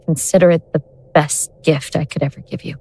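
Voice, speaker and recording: A woman playing a cold, godlike destroyer in an audio drama, deliberate, condescending and scornful. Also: low-pitched, steady tone, gravelly, warm tone, slightly rough, exaggerated voice, low-quality recording. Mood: imperious, impatient.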